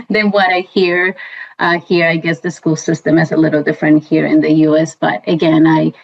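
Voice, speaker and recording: Guest: Colombian-accented woman, thirties, chatty, fillers, low-ish pitch, dull recording. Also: vocal fry, a little mellow. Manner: chatty, many fillers and restarts. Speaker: guest, compliance professional. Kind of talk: casual interview. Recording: dull lo-fi sound.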